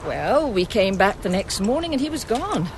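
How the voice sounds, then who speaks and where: An actress playing a brisk, guarded gallery manager in a radio drama, clipped and formal. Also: female voice, medium pitch, sing-song, slight background noise. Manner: clipped, formal and faintly defensive.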